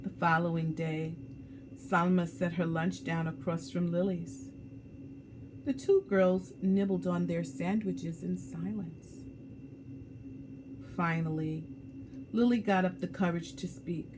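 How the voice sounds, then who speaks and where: A woman reading a picture book aloud as a children's storyteller, with dramatic, drawn-out emphasis for young listeners. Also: lowish voice, steady tone, background noise.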